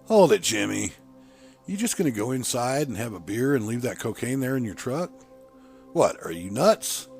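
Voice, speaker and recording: Male narrator as nervy, self-scolding down-and-out guy, audiobook dialogue, very animated intonation. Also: medium pitch, normal speed, creaky, soft background music, voice pushed up. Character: jittery down-on-his-luck man arguing with himself.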